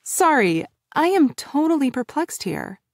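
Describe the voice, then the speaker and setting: A woman's voice: sits high, very animated, measured pace, no room sound, studio quality, pitched above the performer's own voice, full character voice. A woman voicing a flustered, skeptical woman of thirty, raising her voice with surprised questions. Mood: incredulous. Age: 30s.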